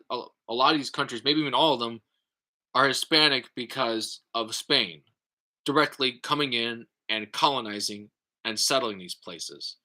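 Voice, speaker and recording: Bright-voiced man, halting vlog talk. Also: medium pitch. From United States. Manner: halting, lots of fillers and restarts; explanatory, thinking aloud. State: tired. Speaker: YouTube creator. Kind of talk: casual response vlog.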